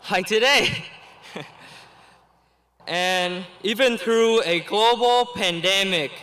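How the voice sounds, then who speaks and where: A high, bright-toned young man reads out a graduation speech. He takes his time, giving each phrase a slow and careful weight. His delivery is earnest, with a quick laugh now and then. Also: poor audio. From Vietnam. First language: Vietnamese. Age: late teens. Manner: earnest and deliberate, with small laughs between phrases. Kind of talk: reading a prepared commencement speech.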